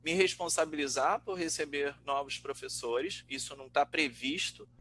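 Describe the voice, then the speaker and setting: A Brazilian man, a researcher and teacher, talking informally and reflectively in a webinar, halting as he thinks aloud. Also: a little high, pushed and bright. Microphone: online webinar call. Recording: noisy webinar audio with hum.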